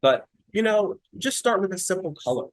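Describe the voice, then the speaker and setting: A man's voice: sits high, moderate pace, no echo. A young man from North Carolina giving a casual art demonstration, sounding nasal from a cold and chatting loosely with lots of fillers, recorded in his studio over earbuds. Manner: relaxed, chatty, with many fillers and restarts. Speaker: mixed-media artist giving a demo. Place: home art studio, indoors.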